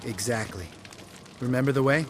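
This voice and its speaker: A cynical game warrior with a medium-pitched male voice, acted by a man. He is blunt, sardonic and fond of needling. The sound has a long reverberant tail and some noise behind it. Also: normal speed, natural voice. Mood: grudging, wry. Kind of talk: video game dialogue.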